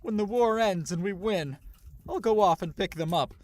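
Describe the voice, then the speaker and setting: A woman's voice: medium pitch, moderate pace, darkish tone, lightly acted. A woman playing a grave, protective army general in a fantasy animation, speaking in a firm, serious manner. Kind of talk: animated fantasy drama acting.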